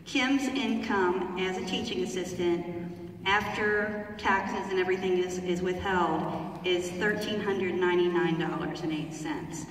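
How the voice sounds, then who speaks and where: A woman's voice: on the low side, fairly even intonation, measured pace, a little hiss or hum. A woman at a town hall reading out a written testimonial, carefully and with small fillers. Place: large theater hall. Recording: echoey hall from far off.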